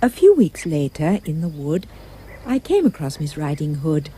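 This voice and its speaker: A woman giving expressive, theatrical rhyming storybook narration for a puppet show. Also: deep voice, moderate pace, slightly rough.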